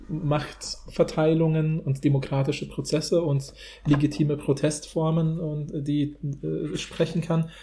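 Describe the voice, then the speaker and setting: A man's voice: a little high, mellow, slight background noise. A man co-hosting a podcast, talking casually and analytically in a discussion. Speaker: podcast co-host.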